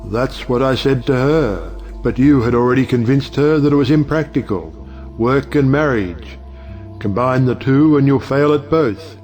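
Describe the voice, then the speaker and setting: A man's voice: medium pitch, moderate pace, darkish tone, natural voice. Man playing a wry, eloquent older uncle. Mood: wry, teasing. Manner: rhetorical, oratorical. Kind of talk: audio play acting. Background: music.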